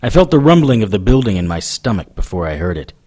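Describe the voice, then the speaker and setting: Man playing a gruff, hard-bitten private investigator. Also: fairly low pitch, very animated, quick pace, noisy background. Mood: tense narrating. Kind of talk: audio drama acting with first-person narration.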